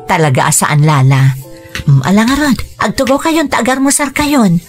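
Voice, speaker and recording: Woman acting caring aunt, gentle manner, medium pitch, Filipino accent, radio drama. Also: big pitch swings, moderate pace, soft background music, close to an everyday voice. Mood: gentle, sincere. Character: caring, gentle aunt Tanya looking after children.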